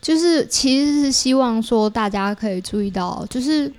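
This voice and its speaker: A woman's voice: fairly high pitch, lively intonation, normal speed, darkish tone. Thoughtful Taiwanese woman explaining in conversation. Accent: Taiwanese Mandarin. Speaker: interview guest, artist. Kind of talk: casual explanatory interview talk.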